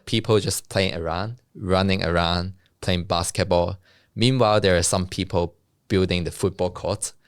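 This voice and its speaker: Low-voiced young guy from hong kong, cantonese first language, hong kong accent, dry close sound, laughs a lot. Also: steady tone, normal speed, clear, resonant tone, no echo. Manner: laughs often, casual and self-deprecating. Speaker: guest, software developer and co-founder. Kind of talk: casual interview answers.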